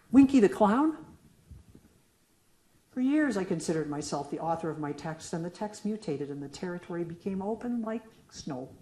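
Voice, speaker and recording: A woman's voice: medium pitch, dark tone, slight background noise. A middle-aged woman poet reading her own work aloud to an audience, moving between a question-and-answer poem and casual asides between pieces. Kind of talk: poetry reading. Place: lecture room at a reading. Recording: echoey room.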